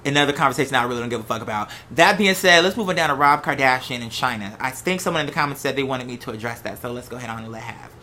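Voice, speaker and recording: An American host talking in a casual, unscripted monologue, with an animated, sassy, slangy way of telling stories. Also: mid-range voice, rapid-fire, hardly any pauses. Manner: animated, sassy, slangy storytelling with acted-out quotes. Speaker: host of a gossip and commentary show.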